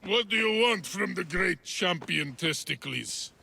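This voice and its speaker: An actor playing a boastful, dim-witted male wrestling champion who talks with gravelly, slurring bluster in a video game cutscene. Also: sits high, animated, unhurried, sharp tone, full character voice. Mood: boisterous.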